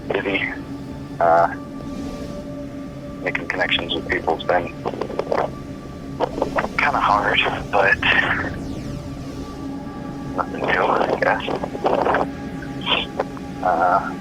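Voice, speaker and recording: Very low-voiced, hesitant young son. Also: male voice, poor audio. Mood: subdued, awkward. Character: worried college-age son, awkward, hesitant, lonely. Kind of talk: naturalistic fiction podcast voicemail acting.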